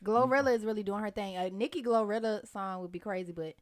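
A woman in her late twenties co-hosting a casual podcast chat, relaxed and agreeable. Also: medium pitch, fast talker. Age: 20s. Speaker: podcast co-host.

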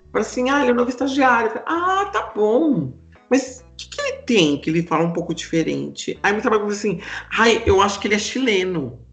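A man's voice: high voice, fast talker, roomy sound, faint music behind. A man with a Brazilian accent chatting casually and expressively as a podcast host, telling stories. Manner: expressive, animated. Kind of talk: casual chat, storytelling.

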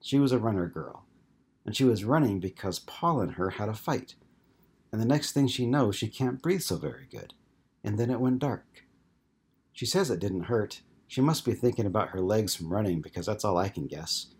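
A man voicing a shy young child ghost who talks in a halting, childlike way through a medium. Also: lowish voice, animated, a little mellow, slightly rough, voice pushed up. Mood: hesitant childlike.

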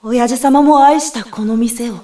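A woman's voice: a little high, unhurried, mellow, close to an everyday voice. A woman playing an earnest young girl from a spirit world in an audio drama. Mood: wistful, hushed. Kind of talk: Japanese audio drama acting and narration. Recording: roomy, distant, music behind.

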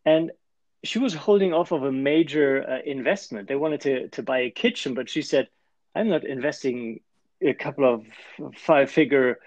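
A man's voice: mid-range voice, normal speed, a little mellow, dry recording, dull audio. A man with a German accent, a coach talking as a guest in a podcast interview and explaining his methods with frequent restarts. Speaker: life and performance coach, guest.

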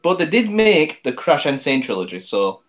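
A man co-hosting a casual podcast chat, prompting the others and quick to laugh. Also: on the high side, big pitch swings. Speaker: podcast co-host.

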